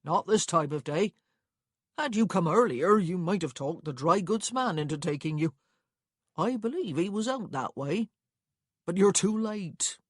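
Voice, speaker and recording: A woman's voice: on the low side, expressive, unhurried, breathy edge, no echo, studio quality. A woman voicing a nosy, officious male stagecoach clerk in an audiobook, chatty and rambling. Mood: easygoing, long-winded. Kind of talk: acted audiobook dialogue.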